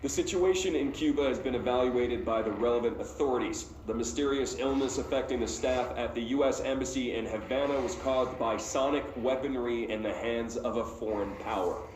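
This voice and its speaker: A man's voice: mid-range voice, a little fast, hardly any pauses, a little mellow, roomy sound, a little hiss or hum, lightly acted, low-quality recording. Man playing a flippant, smug government official. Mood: brisk, official. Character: smug, flippant male scientist-official dictating a cover story. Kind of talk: dictation with wry asides.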